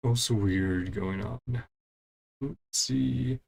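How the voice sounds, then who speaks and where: A man's voice: medium pitch, slow, creaky. A man giving casual live commentary as he streams a game, muttering to himself and trailing off.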